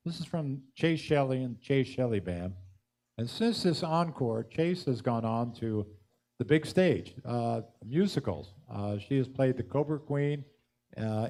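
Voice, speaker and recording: A man hosting a live music show, introducing acts and chatting with guests in an enthusiastic, slightly halting way. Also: mid-range voice, a little mellow. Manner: enthusiastic, chatty, hesitant with many uhs. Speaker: show host. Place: live music studio stage.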